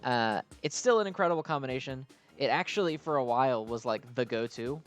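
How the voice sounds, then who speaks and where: A man with a mid-pitched voice talks off the cuff over gameplay, with clear music playing behind him. He rambles like a devoted fan and reviewer, gushing freely and poking fun at himself in a casual way. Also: lively intonation, brisk. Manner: self-deprecating asides. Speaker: video game reviewer and player. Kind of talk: casual unscripted gameplay commentary.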